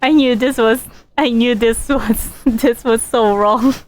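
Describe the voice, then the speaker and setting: A woman game streamer giving casual live commentary, halting and reacting aloud as she goes. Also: a little high, fairly even intonation, slight background noise.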